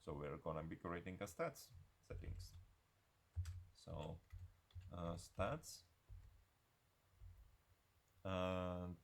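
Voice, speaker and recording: A man giving an unscripted software screencast tutorial in a halting, hesitant way. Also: low-pitched, gravelly.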